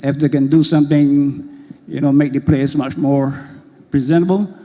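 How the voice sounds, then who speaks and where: A hoarse man with a mellow, slightly high voice speaks up from the audience. He is earnest and a bit rambling, and the long echo of a large hall trails behind his words. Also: fairly even intonation, normal speed. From Barbados. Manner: earnest, rambling, with frequent fillers. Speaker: audience member asking questions. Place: school hall at a public meeting.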